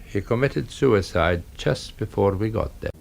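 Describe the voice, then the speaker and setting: An actor playing a suspicious police inspector in a radio drama, questioning in a curt, accusing, distrustful manner. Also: male voice, on the low side, animated, unhurried, gravelly. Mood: stern.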